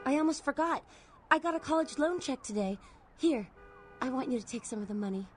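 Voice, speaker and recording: An actress playing an adoring, devoted young college student in thrall to a vampire in a video game, breathless and clingy. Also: female voice, high-pitched, animated, brisk, slight background noise. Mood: eager devotion. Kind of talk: video game dialogue acting.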